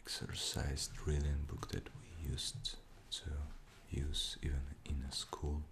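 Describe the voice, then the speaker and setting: A man with a Russian mother tongue whispers in a deep, gravelly hush. He speaks very slowly, with long pauses and soft fillers between phrases, in an unhurried ASMR style. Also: completely flat delivery, slight background noise. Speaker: ASMR creator. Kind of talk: whispered ASMR show-and-tell.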